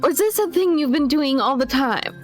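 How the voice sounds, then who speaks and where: A woman's voice: high-pitched, expressive, a little fast, faint music behind. A woman playing a tabletop game, casually improvising speech and actions for a character.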